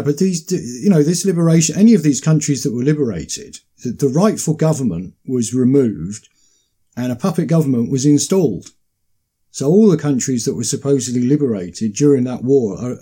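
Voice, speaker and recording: Male talk host, dark husky voice, conversational, leans on repeated phrases. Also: medium pitch, lively intonation, brisk. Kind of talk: casual discussion with read-out quotations.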